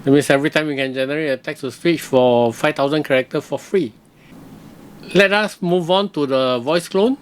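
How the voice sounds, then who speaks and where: Male tutorial host, fairly high-pitched, casual, hesitant step-by-step commentary. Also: normal speed, clean tone. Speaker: software reviewer, host.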